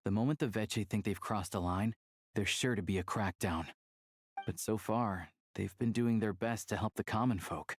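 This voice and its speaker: Low-voiced, easygoing game ally. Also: male voice, normal speed, no room sound. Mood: explanatory. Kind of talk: video game dialogue acting.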